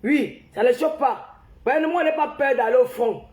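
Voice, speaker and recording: A woman with a strikingly high voice talks close into a phone camera on a livestream. She has the flu, and she rants heatedly, repeating her points and speaking straight to her audience. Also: moderate pace, darkish tone, roomy sound. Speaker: livestream host. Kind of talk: casual live-stream rant.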